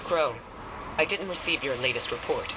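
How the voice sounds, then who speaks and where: A man's voice: fairly high pitch, animated, normal speed, natural voice. A man playing a stern but caring military commander in a video game, firm and authoritative. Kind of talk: dramatic video game dialogue. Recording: loud vehicle and ambient noise.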